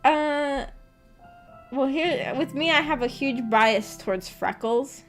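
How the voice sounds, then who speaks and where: A woman's voice: sits high, slow pace. A woman livestreamer chatting casually with her viewers in a hesitant, rambling, giggly manner. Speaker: streamer and host of a visual novel book club. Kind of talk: casual livestream chat, reacting to viewer comments. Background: background music.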